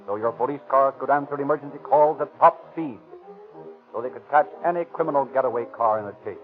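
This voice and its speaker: A man announcing a 1930s radio crime show, formal and persuasive in his sales pitch. The sound is narrow and phone-like, as from an old broadcast, with faint music. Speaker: announcer and narrator.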